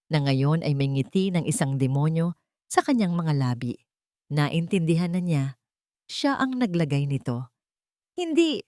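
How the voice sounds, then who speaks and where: A woman's voice: low-pitched, warm tone, no echo, clean studio sound. A woman with a Filipino accent narrating a sentimental family story in a dramatic, scripted storytelling style.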